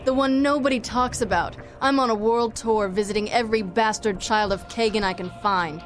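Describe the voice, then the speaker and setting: A cocky, sardonic half-vampire woman assassin voiced by an actress in a game cutscene. She is dry and flippant, with music clearly playing behind her. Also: medium pitch, moderate pace, lightly acted. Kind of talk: video game cutscene acting.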